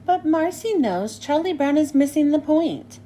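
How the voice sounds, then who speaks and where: A woman reads a children's story aloud. Her voice is high and very expressive, and there is some noise in the recording. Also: measured pace. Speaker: storybook reader. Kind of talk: children's read-aloud narration.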